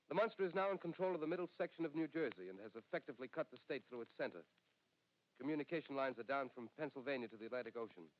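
Brief: male actor as solemn 1930s newsreader, measured and formal, dull vintage broadcast. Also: medium pitch, natural voice. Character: grave male radio announcer of a national disaster. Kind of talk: 1930s radio drama acting.

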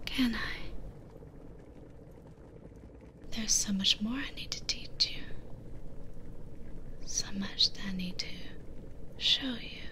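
Woman acting a whispering, doting young witch. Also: medium pitch. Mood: tender, teasing. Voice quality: soft, breathy whisper. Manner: teasing, soothing, unhurried. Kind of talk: ASMR roleplay.

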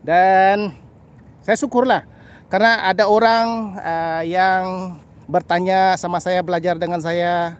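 A middle-aged man from Sabah with a Sabahan Malay accent, chatting casually to camera in a halting, repetitive way with little filler tags. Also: high voice, fairly even intonation, muffled recording. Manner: halting, repeats phrases, frequent "ah" and "lah" tags. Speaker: birding vlogger. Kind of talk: casual vlog chat to camera. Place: outdoors on a grassy path by a canal. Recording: outdoor background noise.

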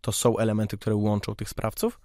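A man's voice: on the low side, level tone, brisk, mellow, no echo, studio quality. A man hosting a podcast, putting questions to a guest in a conversational way. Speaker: podcast host, interviewer. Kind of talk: conversational interviewing.

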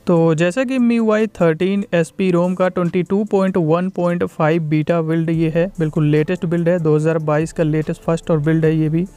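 Young male tech reviewer. Very quick delivery, smooth clear tone, informal and explanatory. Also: fairly high pitch, fairly even intonation, warm tone, dry recording. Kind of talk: phone software review voice-over.

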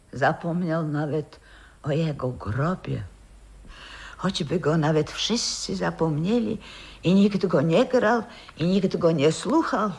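An actress in a 1960s radio play playing a wistful, proud old grandmother who was once an opera singer, reminiscing in a halting, theatrical way. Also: mid-range voice, a little mellow, breathy edge. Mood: fervent, sorrowful.

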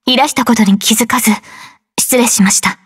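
Female android soldier for anime game: gentle, formal, composed, slightly high breathy voice. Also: fairly even intonation, brisk, dry recording, clean studio sound. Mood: polite, concerned. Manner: polite, formal, soft-spoken. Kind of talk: Japanese anime game voice acting.

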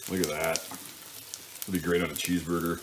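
A man with a fairly low voice talks casually through his cooking at the stove. He thinks aloud and corrects himself in an informal way, with frying and stirring noise behind him in a home kitchen. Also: little pitch movement, brisk. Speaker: home cook hosting a cooking show. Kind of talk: casual cooking commentary while working. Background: sizzling pan and stirring sounds.

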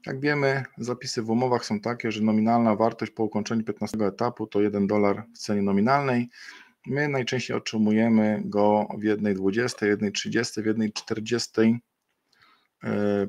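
A middle-aged man speaks into a webcam from a desk, walking viewers through slides. His voice sits fairly low, and he talks off the cuff, pausing on fillers and correcting himself mid-phrase. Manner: unscripted, many fillers and self-corrections. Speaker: webinar presenter. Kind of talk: explaining a partner program over slides.

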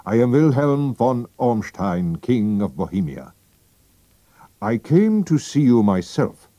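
A man's voice: fairly low pitch, warm tone, dry recording, voice pushed up, exaggerated voice. A man voicing a proud, anxious Bohemian king. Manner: formal, stiff and urgent. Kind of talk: storybook reading.

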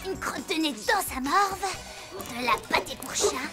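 A geeky little boy, the eager sidekick of a cartoon. His voice is high and confiding, a small schemer talking over clear music. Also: lively intonation, measured pace. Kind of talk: French cartoon dubbing. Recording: music behind the voice.

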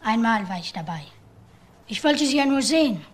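A man's voice: very high-pitched, sing-song, moderate pace. A young male actor playing a naive, withdrawn seventeen-year-old brother who answers simply and hesitantly in a crime radio play. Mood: subdued, naive. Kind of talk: German crime radio drama acting. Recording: roomy, faint background noise.

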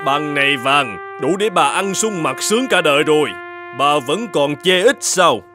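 An arrogant imperial henchman in a fairy-tale cartoon. He threatens imperiously in a fairly high, very animated voice, with loud music underneath. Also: a little slow. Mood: insistent. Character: menacing, arrogant male agent of the empress.

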